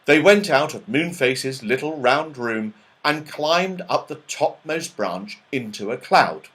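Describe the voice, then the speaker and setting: A man narrating a children's storybook as an audiobook reader, with expressive, dramatic delivery. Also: mid-range voice, slow.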